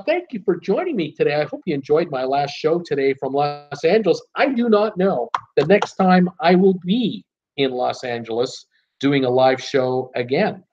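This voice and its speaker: A man's voice: a little high, a little mellow. A man hosting a live cruise Q and A stream, reading viewer comments aloud and replying in a chatty, easygoing way with lots of "uh"s. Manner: reads out chat names and replies in a chatty, easygoing way with many "uh"s. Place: temporary home setup in a city. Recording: dull, lo-fi live-stream audio.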